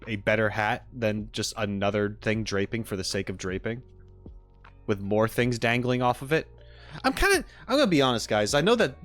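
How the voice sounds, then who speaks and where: A man chatting casually on a live stream, giving a rambling, informal critique as he reacts to what he watches. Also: mid-range voice. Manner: rambling, informal, frequent hedging and "like". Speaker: streamer reacting to game character trailers.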